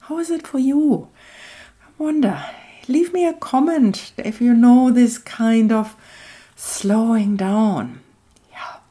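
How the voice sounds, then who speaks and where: A woman gently narrating a craft tutorial as host, unhurried and reflective, often trailing off toward a near-whisper. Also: fairly high pitch. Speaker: host of an art collage channel.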